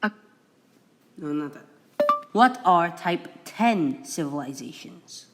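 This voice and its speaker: Young guy reading off a wiki at home, keeps tripping over words and saying sorry, kinda high voice. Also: animated, loud music behind the voice. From Indonesia. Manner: halting, many restarts and apologies. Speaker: video creator. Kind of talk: casual screen-recorded explainer reading from a wiki.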